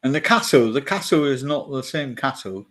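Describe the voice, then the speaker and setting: A man's voice: mid-range voice, lively intonation, moderate pace, fairly bright tone. A man with a Devonshire accent, co-hosting a podcast in casual film chat, hesitant with many fillers and restarts. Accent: Devonshire English. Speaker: podcast co-host.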